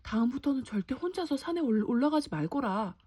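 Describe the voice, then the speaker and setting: A woman's voice: sits high, lively intonation, fairly quick, warm tone, slight background noise. A woman voicing a kindly, trusting father in a folktale, with an earnest, old-fashioned way of speaking. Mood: relieved concern.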